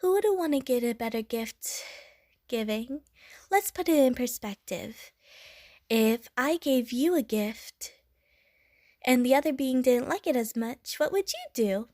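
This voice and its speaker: Woman voicing a high-pitched, bubbly water slime girl. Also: expressive, measured pace, darkish tone, dry recording, clean studio sound. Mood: earnest, sweet. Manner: childlike, playful, gushing, punctuated with little bloop noises. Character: bubbly, innocent young female water slime creature. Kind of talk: audio roleplay addressed to the listener.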